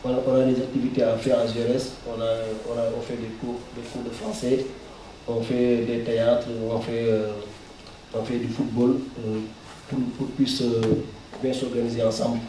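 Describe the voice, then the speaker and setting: A very echoey, distant recording of a man with a Mauritanian accent. His voice is mellow, and he speaks haltingly, repeating words as he gives his testimony at a press conference. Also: mid-range voice, level tone. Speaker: guest speaker, refugee giving testimony. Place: indoor press conference room. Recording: roomy echo, distant mic, faint noise.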